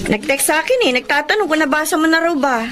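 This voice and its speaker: A woman's voice: high-pitched, expressive, quick pace, background noise. An actress playing a sympathetic young woman at a market stall in a radio drama, mild and conciliatory. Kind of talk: Tagalog radio drama acting.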